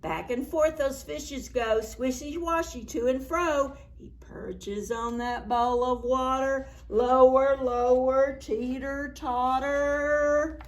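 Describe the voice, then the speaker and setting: A woman storyteller reading a picture book aloud to young children in a playful, dramatic, expressive way. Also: high voice, takes it slowly. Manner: animated, playful, dramatic reading with gasps and exclamations; addresses young children with simple questions.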